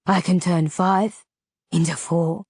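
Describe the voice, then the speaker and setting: Throaty rumbling croak, menacing scaly male ferryman, slow and drawn-out, put on by a woman reader, dry studio sound. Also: steady tone, darkish tone, no echo, heavily acted. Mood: threatening. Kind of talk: audiobook character voice.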